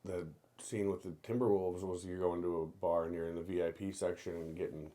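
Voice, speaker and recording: A man's voice: low voice, fairly quick. A young man from Minnesota, a stand-up comedian, telling stories in a casual podcast chat and acting out quotes. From Minnesota, USA. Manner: animated storyteller, acts out quotes in other voices.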